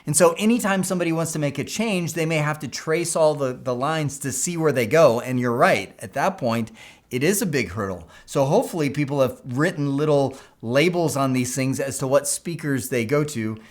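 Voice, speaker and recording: A man with a slightly high-pitched voice works as an instructor, explaining technical equipment informally. He talks conversationally, as if to one learner, and keeps checking in with short tag questions. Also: moderate pace. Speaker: instructor explaining school PA systems.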